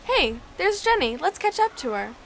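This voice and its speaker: High-voiced earnest schoolgirl played by a young female. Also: sing-song, moderate pace, creaky, fairly bright tone, slight background noise, full character voice. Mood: friendly. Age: child or teenager. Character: friendly, kind schoolgirl standing up for others. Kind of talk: amateur radio drama acting.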